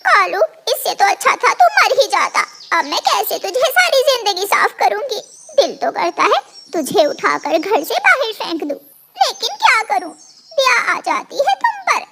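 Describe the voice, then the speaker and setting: A woman's voice: very high voice, very animated, unhurried, gravelly, noisy background, heavily acted. A woman voicing an angry adult female crow in a cartoon, scolding and accusing dramatically. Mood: bitter, resigned. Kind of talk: Hindi animated moral-story dubbing.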